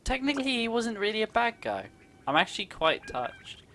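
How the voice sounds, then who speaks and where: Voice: mid-range voice, very animated, normal speed, background noise. A gaming streamer giving casual, playful commentary with sarcastic asides and reading game text aloud. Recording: game music clearly behind the voice.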